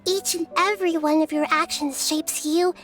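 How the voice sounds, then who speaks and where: A woman voicing a wise, compassionate young girl deity in a video game, speaking in a measured, soft-spoken, thoughtful way. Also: very high-pitched, steady tone, faint music behind. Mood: earnest, encouraging. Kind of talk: scripted video game dialogue.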